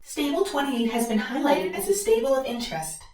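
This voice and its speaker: Casting: woman as commanding, clipped overseer for audio drama, higher voice, echoey sound. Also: very animated, brisk, darkish tone. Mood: formal, businesslike. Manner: formal, clipped, businesslike. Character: formal, commanding female facility overseer. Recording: echoey announcement.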